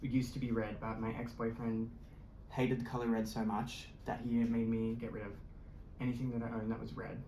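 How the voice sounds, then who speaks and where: A host chatting casually in an interview. The voice has a flat, even tone and the talk is chatty, as if thinking aloud. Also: medium pitch, fairly quick. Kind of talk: casual chat. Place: indoor room during a makeup session. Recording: echoey room, faint background hiss.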